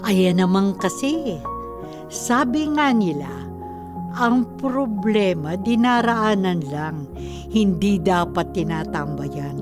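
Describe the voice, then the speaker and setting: A woman narrates a radio drama with reflective, moralizing lines. She speaks slowly in a mid-range voice over music. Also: mid-range voice, expressive. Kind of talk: scripted narration.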